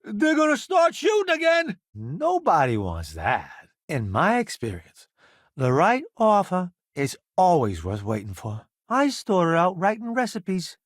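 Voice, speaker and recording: A man's voice: fairly high pitch, no echo, studio quality. A man voicing a vain, wisecracking, cowardly food-columnist sidekick in a space video game, with a breezy, theatrical, boastful delivery. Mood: urgent, alarmed, then wry, laid-back. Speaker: companion character in a space game. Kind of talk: animated video game voice acting.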